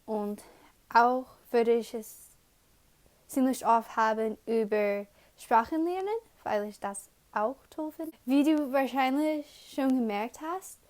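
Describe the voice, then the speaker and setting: A young woman with an English accent talks slowly and haltingly, stopping to fix her words as she goes. Her voice sits a little high. She hosts a study-tips video in a casual, unhurried way. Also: darkish tone. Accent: English-speaking learner of German. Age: young adult. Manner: slow and halting, with pauses and self-corrections. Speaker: student channel host.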